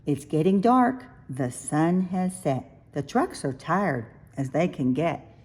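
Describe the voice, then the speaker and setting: A woman reading a rhyming picture book aloud for young children, with expressive, sing-song, deliberate phrasing. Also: deep voice, husky. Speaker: children's storybook reader.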